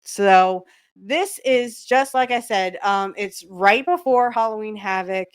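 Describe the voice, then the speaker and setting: A woman's voice: mid-range voice, slow pace, clear, resonant tone. A woman hosting a podcast, chatting casually along with a video in a fond, giggly way, with many filler ums. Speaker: podcast host. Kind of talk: casual watch-along commentary.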